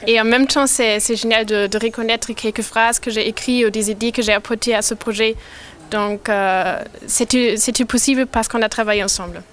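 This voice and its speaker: A woman's voice: a little high, fairly even intonation, moderate pace. A young woman from Germany, a student giving thoughtful interview answers with fillers. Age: young adult.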